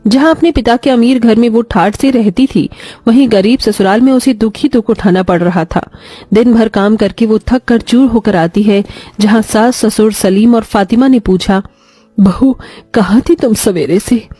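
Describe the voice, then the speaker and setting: Mellow, high-voiced woman storyteller. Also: moderate pace, dry recording. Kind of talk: scripted moral-story narration. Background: faint music.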